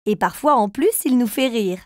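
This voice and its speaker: A woman's voice: a little high, animated, normal speed, dry recording, clean studio sound. A woman narrating a children's cartoon, patiently reading riddles aloud and encouraging little ones to guess. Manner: patient and encouraging, speaks directly to young children. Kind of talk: gentle scripted narration for toddlers.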